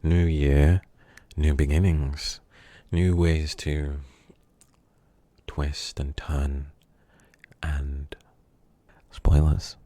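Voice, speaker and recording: A man playing a menacing, smug kidnapper in an ASMR roleplay, speaking slowly and teasingly and dropping into whispers. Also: a bass voice, little pitch movement, dark tone, dry recording, heavily acted. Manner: slow, teasing, drifting into whispers.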